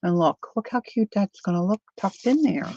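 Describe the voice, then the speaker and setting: An older woman chatting casually to viewers while she crafts, thinking out loud as she works. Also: medium pitch, expressive, moderate pace. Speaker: craft livestreamer. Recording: dull lo-fi dry sound.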